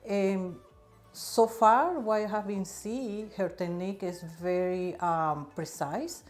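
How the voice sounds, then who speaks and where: A woman's voice: medium pitch, takes it slowly, faint music behind. A woman thread practitioner giving an earnest testimonial with non-native grammar. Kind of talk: testimonial interview answers.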